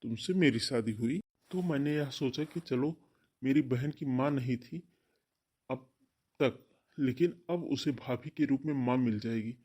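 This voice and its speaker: Man acting an earnest husband, medium pitch. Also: a little slow, mellow, natural voice. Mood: pleading, hurt. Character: earnest young husband, devoted to his sister. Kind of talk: Hindi cartoon family drama dialogue.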